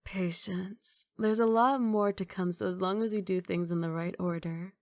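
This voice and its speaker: A woman's voice: mid-range voice, fairly even intonation, moderate pace, no room sound, close to an everyday voice. A woman playing a confident, doting young mafia boss girlfriend in an intimate, teasing ASMR romantic roleplay. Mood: affectionate, assured. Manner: playful teasing, intimate one-sided conversation.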